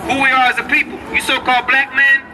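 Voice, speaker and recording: A man street preaching, explaining scripture to a passerby in a measured, lecturing way on a city corner. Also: very high-pitched, monotone, sharp tone. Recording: outdoor street with crowd and traffic noise.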